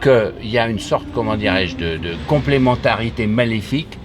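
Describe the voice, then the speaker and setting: Older man interviewer, lowish voice. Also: expressive, normal speed, over music. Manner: articulate, builds long explanatory sentences. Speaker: interviewer, motorsport journalist. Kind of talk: conversational interview. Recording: audible background noise.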